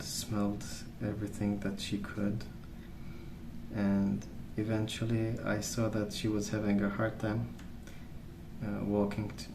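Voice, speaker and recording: Slow, soft-spoken man, roomy sound. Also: on the low side. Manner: hushed, hesitant and unhurried. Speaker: ASMR video host. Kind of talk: soft-spoken ASMR rambling. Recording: roomy, distant mic with clear noise.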